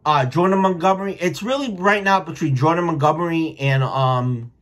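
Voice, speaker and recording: A man's voice: fairly high pitch, normal speed, a bit bright. An American man in his thirties giving casual, blunt, opinionated sports commentary with plenty of swearing, recorded at home on a webcam. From Rhode Island, United States. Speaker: sports vlogger, baseball fan. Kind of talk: casual post-game reaction commentary. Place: small furnished room at home.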